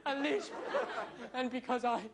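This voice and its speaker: A man's voice: very high voice, fairly even intonation, a little slow, a loud crowd. A man performing in a sitcom, whining and ranting in a hysterical, melodramatic way. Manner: whiny, hysterical, melodramatic rants. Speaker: comedian in a sitcom. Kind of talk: scripted sitcom comedy. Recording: noisy, with loud studio audience laughter.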